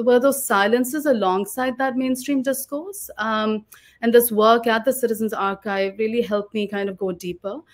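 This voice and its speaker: A woman, talking reflectively and articulately as an interview guest on a video call. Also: mid-range voice. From Lahore, Pakistan. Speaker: guest oral historian and author. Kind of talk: reflective webinar interview talk. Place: living room at home, video call. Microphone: video call microphone.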